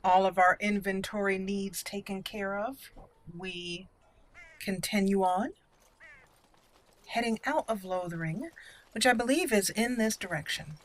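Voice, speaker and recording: A woman's voice: medium pitch, unhurried, long pauses. A woman giving casual, chatty gaming commentary, thinking aloud and exclaiming as she plays. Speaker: gameplay video host. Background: game music.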